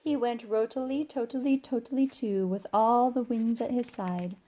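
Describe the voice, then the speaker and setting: A woman reading a rhyming children's picture book aloud in an expressive, sing-song way. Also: on the high side, moderate pace, low-quality recording. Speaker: storybook reader.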